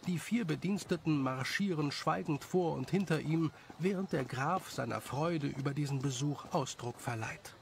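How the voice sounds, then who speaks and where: A man's voice: on the high side, normal speed, vocal fry, hardly any pauses, slight background noise. A man reading scripted story narration as the narrator.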